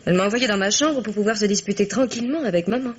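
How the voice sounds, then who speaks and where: An actress playing a gentle, candid young daughter in a French radio drama, soft, thoughtful and informal, with a slightly husky voice. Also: female voice, medium pitch, very fast, natural voice. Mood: lightly candid. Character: gentle, candid young daughter, affectionate toward her father. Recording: dull old radio recording.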